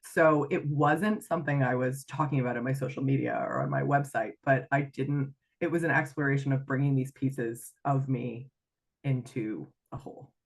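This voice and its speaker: A woman's voice: extremely low pitch, fairly even intonation, normal speed, vocal fry. A middle-aged woman with a Canadian accent touched by Irish, talking reflectively as a guest on a podcast interview, with many fillers and restarts. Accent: Canadian with some Irish influence. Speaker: guest, life coach.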